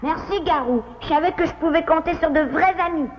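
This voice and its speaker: A woman's voice: very high voice, fairly quick, highs cut off, a little hiss or hum. A woman voicing a cheeky, plaintive little boy beaver in a French cartoon dub. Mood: earnest, hurt. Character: sulky, defiant little boy beaver, tender toward mother.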